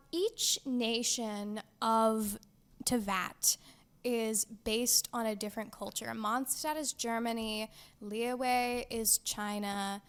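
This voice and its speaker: A young woman co-hosting a casual gaming podcast, excitable and giggly, with lots of fillers. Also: fairly high pitch, clean tone. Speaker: podcast co-host.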